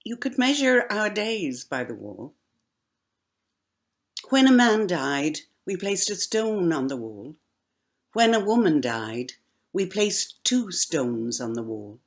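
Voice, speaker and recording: A woman gives a solemn poetry recital. Her voice sits slightly low but moves expressively up and down. She takes it very slowly and deliberately, with weighty pauses. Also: sing-song. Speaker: actor reading poetry.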